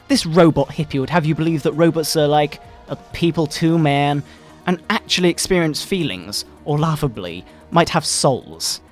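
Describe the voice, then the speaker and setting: Casting: male performer as indignant Bible-thumping father, theatrical and scolding, highly varied intonation, game music underneath. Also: natural voice. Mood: mocking. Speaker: video narrator. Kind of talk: dramatic satirical reading, sermon-like. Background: video game music.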